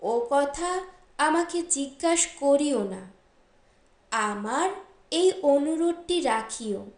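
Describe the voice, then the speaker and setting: A woman's voice: sits high, lively intonation, slow pace. A woman voicing a troubled, remorseful husband in an audiobook story, subdued and pained. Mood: pained, pleading. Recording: roomy echo.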